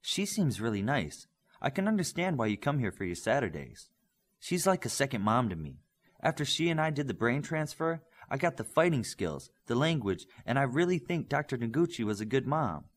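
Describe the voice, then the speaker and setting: A man's voice: medium pitch, sing-song, normal speed, voice pushed up. Man playing an earnest, curious young superhero. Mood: earnest, explaining.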